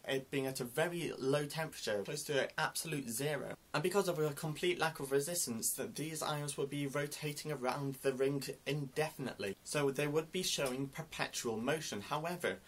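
A man's voice: fairly high pitch, fairly quick, few pauses. A young man explaining science news to camera in an earnest, explanatory way, recorded in a small indoor room on a camera mic at desk distance. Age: young adult. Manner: earnest, explanatory, gestures with both hands. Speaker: science news video host.

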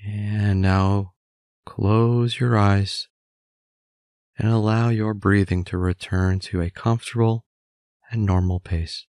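Calm guy doing guided meditation, really slow n gentle, bit of vocal fry. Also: on the low side, no echo, studio quality. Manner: calm, measured, gently instructive.

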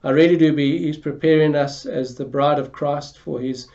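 Older man, pastor, earnest and flat-toned, mellow voice, dull home-video sound. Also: on the high side, moderate pace. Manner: earnest, repeats words when restarting. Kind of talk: church announcement to camera. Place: home living room, seated in armchair.

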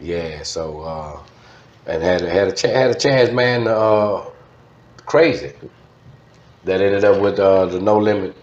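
A man's voice: sits low, takes it slowly, long pauses, a little mellow. A middle-aged man with a Southern US accent reminiscing casually as an interview guest, slangy and repeating short phrases. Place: indoor studio desk. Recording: echoey, dull lo-fi studio sound.

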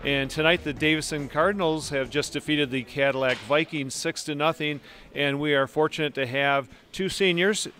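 A middle-aged male sports broadcaster hosting a postgame interview at an indoor ice rink, speaking into a handheld mic in a relaxed, friendly way with frequent fillers. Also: on the high side, normal speed, soft background music. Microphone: handheld microphone.

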